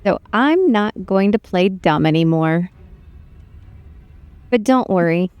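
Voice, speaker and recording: An actress playing a menacing, wryly calm captive princess in a video game, taunting and composed. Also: female voice, medium pitch, takes it slowly, clear, resonant tone, dry recording, muffled recording. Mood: coolly threatening.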